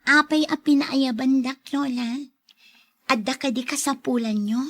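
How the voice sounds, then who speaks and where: A woman's voice: high-pitched, measured pace. A young woman playing a kind, forgiving granddaughter and caregiver in a radio drama. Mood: gentle, caring. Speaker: drama actor.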